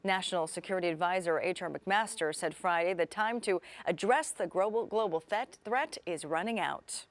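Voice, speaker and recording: A woman's voice: lowish voice, moderate pace. A woman with an American accent reading scripted television news as an anchor. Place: TV studio.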